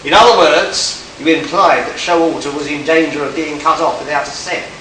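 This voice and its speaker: A man's voice: on the high side, normal speed, sharp tone. Man acting a smug detective in an echoey hall. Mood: accusing, confident. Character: hard-boiled, smug male space detective exposing a murderer. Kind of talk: amateur live radio play acting. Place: live convention stage, indoors. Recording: distant, echoey, noisy hall recording.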